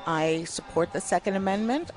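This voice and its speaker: A woman's voice: medium pitch, normal speed, music in the background. Cuban-born woman candidate, firm. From Cuba. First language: Spanish. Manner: firm, steady, conviction-driven. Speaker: political candidate. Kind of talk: radio interview guest. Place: outdoor food festival.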